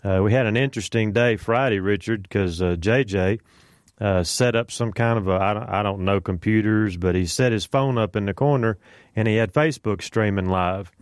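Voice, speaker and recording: Folksy low-voiced radio host, dry studio. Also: male voice, moderate pace, dry recording. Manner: folksy, many "uh" fillers, greets listeners by name. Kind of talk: casual morning radio chat. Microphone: studio microphone.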